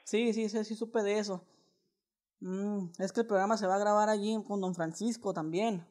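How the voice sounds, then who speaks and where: A man in his twenties with a Mexican accent makes a casual prank call. He is slangy and coaxing, piling on mock earnestness as he makes his pitch. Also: steady tone, moderate pace, darkish tone. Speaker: prank caller. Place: indoors, small living room.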